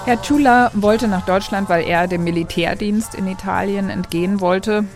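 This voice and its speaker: A woman's voice: mid-range voice, steady tone, normal speed. A woman co-hosting a history podcast, explaining in a conversational narrated way. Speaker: podcast co-host. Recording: dry studio sound with faint music.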